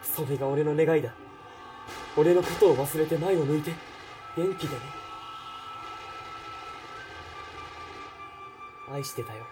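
Actor as a wistful soldier, voice under music. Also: male voice, a little high, fairly quick, warm tone, natural voice. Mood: urgent, tender. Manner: earnest, wistful, dramatic. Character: doomed tender young soldier, remorseful lover. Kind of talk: radio drama letter monologue. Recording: distant voice under prominent music.

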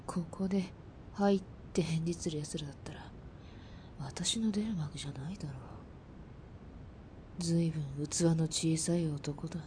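Radio drama marksman: seasoned woman, low murmur, cool disdain, noticeable background noise. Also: steady tone, unhurried, pauses often. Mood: cool contempt. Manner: hushed, low, disdainful muttering. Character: cool, contemptuous female sniper, veteran instructor. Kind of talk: Japanese radio drama acting.

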